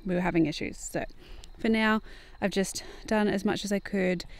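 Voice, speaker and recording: A woman's voice: mid-range voice, moderate pace. Chatty young woman vlogging outdoors. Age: young adult. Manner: chatty, relaxed, a little rambling. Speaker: gardening vlogger. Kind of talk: casual vlog talk to camera. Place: outdoors in a large garden. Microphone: phone or camera held at arm's length.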